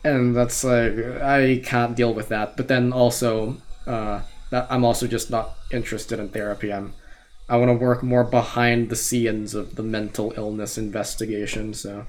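A young man in his late teens, originally from Australia, who talks with an American accent. His voice sits at an ordinary middle pitch. He chats loosely on a podcast, full of restarts and casual profanity. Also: little pitch movement, normal speed. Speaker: podcast co-host. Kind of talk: casual chat.

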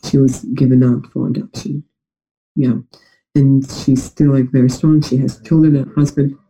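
A man's voice: medium pitch, little pitch movement, normal speed, dark tone, rough recording. A young man with a New York-like accent and a congested, nasal voice, hesitantly telling personal stories as an interview guest. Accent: New York-like American. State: congested from a recent illness.

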